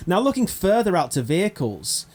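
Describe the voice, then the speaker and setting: A man's voice: sits high, wildly swooping pitch, normal speed, darkish tone. A man narrating an informal gaming explainer, talking directly to viewers in a conversational way. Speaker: video presenter of a gaming concept. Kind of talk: informal explainer narration of a game item idea.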